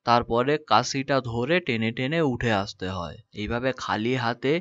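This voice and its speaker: A man's voice: mid-range voice, normal speed, fairly bright tone, no echo, dull audio, natural voice. A man playing a bumbling, good-hearted boatman in a cartoon story, explaining things earnestly. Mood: urgent scolding. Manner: explanatory, stumbling over words. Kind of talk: Bengali cartoon story dubbing.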